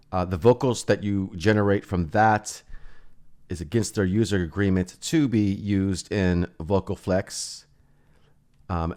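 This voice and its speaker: A man giving a casual software walkthrough as presenter, thinking aloud in an informal way. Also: lowish voice. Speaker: presenter demonstrating music software.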